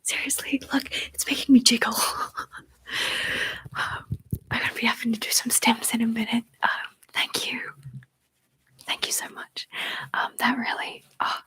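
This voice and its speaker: A woman's voice: on the high side, flat delivery, normal speed, long pauses, fairly bright tone, breathy edge, no echo. Giggly whispering woman. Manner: giggly, rambling, playful. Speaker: ASMR creator. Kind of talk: whispered ASMR chat, reading viewer comments.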